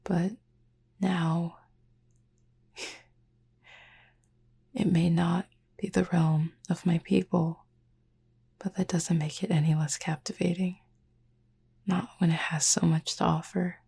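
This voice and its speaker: A woman playing a gentle, reserved cupid roommate in a sleep-aid roleplay, speaking softly and formally in a breathy near-whisper with long pauses. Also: fairly low pitch, flat delivery, full character voice. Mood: wistful, fond. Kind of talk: slow, soft-spoken sleep-aid audio roleplay.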